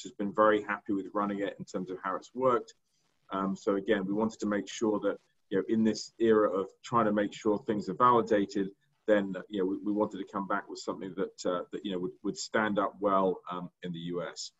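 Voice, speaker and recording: A middle-aged man with a non-American accent, presenting slides on a video call and speaking haltingly, with many fillers and repeated words. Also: lowish voice, flat delivery. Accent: non-American English. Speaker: diagnostics company executive. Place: indoor room. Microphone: video call webcam. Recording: dull lo-fi video call audio.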